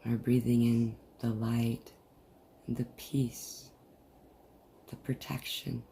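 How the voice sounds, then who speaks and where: Softly dark, gravelly guided meditation voice. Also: medium pitch, little pitch movement. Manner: soothing, deliberate, with long pauses. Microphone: video call. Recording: noisy, muffled group video-call audio.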